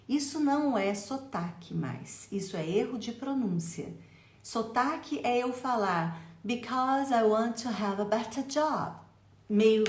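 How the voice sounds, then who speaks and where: A Brazilian woman with a Brazilian accent, teaching English pronunciation in a lively way and slowing down to drill phrases for the listener to repeat. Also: medium pitch, reverberant. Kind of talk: pronunciation lesson.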